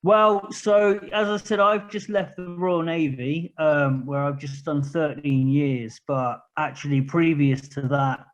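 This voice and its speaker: A man's voice: on the high side, flat delivery, takes it slowly, hardly any pauses, faint music behind. Candid man with a southern English accent. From England. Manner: candid, plain-spoken, rambling. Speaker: podcast guest, charity project manager. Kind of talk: casual interview talk. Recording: muffled lo-fi recording.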